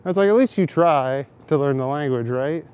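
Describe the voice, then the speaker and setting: A man's voice: on the high side, moderate pace, darkish tone, smooth. A man with an Ontario Canadian accent chatting casually with his stream while walking outdoors, rambling and joking with frequent chuckles. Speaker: streamer talking to chat. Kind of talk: casual walking livestream chat. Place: outdoor city square in winter.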